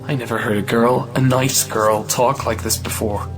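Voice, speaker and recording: A man's voice: mid-range voice, fairly even intonation, moderate pace, music in the background, natural voice. Passionate young male suitor, acted by a man, anguished and jealous, for an old-fashioned stage romance in audio. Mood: shocked, pleading. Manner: earnest, anguished. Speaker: actor in an audio play. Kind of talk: melodramatic audio drama acting of a 1917 play.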